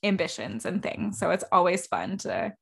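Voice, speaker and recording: A woman in her thirties hosting a podcast, chatting casually and self-deprecatingly in quick run-on sentences. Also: lowish voice, very animated, creaky, husky. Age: 30s. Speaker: podcast host. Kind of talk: casual interview chat.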